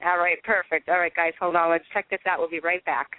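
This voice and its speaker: A man's voice: on the high side, level tone, quick pace. A man hosting a casual call-in radio show, chatting with co-host and callers over a phone-like connection. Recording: tinny phone-like band.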